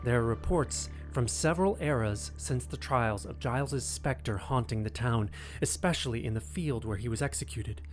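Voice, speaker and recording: A man's voice: mid-range voice, normal speed, hardly any pauses. Male narrator over prominent music. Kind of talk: scripted documentary-style narration of ghost lore.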